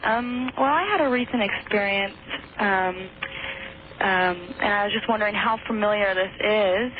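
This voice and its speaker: Woman caller, hesitant, mid pitch, thin telephone sound, faint hiss. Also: normal speed. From Portland, Oregon. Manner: hesitant, many ums. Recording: tinny phone line with faint noise.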